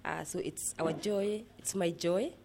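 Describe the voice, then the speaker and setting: A woman with a Kenyan accent explaining her work in an interview, speaking in a measured, earnest way with pauses. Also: fairly low pitch, vocal fry, darkish tone, a little hiss or hum. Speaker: interviewee, project manager at a rehabilitation center.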